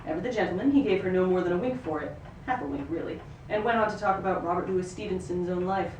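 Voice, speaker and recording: A woman reading a novel excerpt aloud at a live reading, in an expressive storytelling manner with occasional stumbles. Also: lowish voice, quick pace. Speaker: actor reading a book excerpt. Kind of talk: live literary reading, third-person narration. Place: live reading in a roomy venue. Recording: roomy, distant microphone, noisy ambience.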